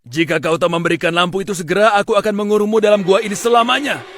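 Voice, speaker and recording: A man's voice: sits high, fast talker, a bit bright, slight background noise. A man playing a greedy, impatient fairy tale villain, commanding and threatening.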